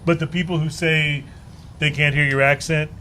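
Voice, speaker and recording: A man hosts a casual talk show and keeps things moving with friendly agreement. His voice is a touch high, and faint background ambience sits behind him. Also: little pitch movement, normal speed, bright, forceful tone, a little hiss or hum. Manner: affable, frequent agreeing interjections. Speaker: podcast host. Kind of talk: casual chat. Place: bar, live show.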